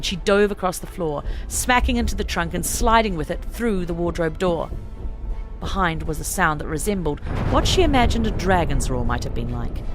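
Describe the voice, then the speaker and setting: A woman narrating an audiobook story in a dramatic, scripted reading style. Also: on the low side.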